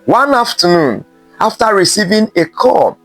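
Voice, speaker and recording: A man narrating a scripted folktale in a measured way, with dramatic pauses between short phrases. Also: high voice, very animated, a bit bright, no echo, soft background music. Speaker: storyteller.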